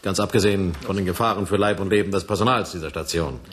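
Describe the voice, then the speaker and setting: An actor playing a brusque, domineering military major in a radio play, with a rough, husky voice and a curt, sarcastic manner. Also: male voice, low-pitched, fast, a bit bright. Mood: stern, commanding. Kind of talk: 1980s German radio play acting.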